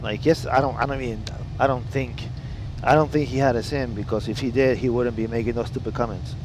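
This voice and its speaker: A man's voice: mid-range voice, fairly even intonation, a little fast, noisy background. A man with an Italian accent, a native Italian speaker, chatting bluntly and with lots of swearing while live-streaming a flight simulator session. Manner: blunt, profane, reads chat aloud. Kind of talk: casual live-stream chat. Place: home flight simulator room.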